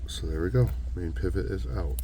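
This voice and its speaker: A young man with a hoarse voice from losing it, casually walking through a hands-on tutorial in a relaxed, rambling way. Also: low-pitched, normal speed, slight background noise. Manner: relaxed, rambling, self-correcting. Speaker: knife modding channel host.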